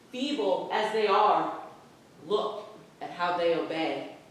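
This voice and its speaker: A woman's voice: medium pitch, close to an everyday voice. A woman playing a pious, guilt-ridden Puritan wife and mother, delivering an earnest, impassioned stage monologue. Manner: earnest, impassioned, swelling from hushed to loud. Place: playhouse stage with live audience. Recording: distant mic in very echoey hall.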